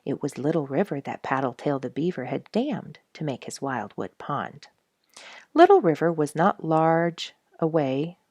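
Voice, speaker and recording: Low-pitched woman, animated intonation, children's story reader, encouraging, dry close sound. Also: a little slow, no echo. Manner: encouraging, addresses young readers directly. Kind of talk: read-aloud children's storybook with casual asides.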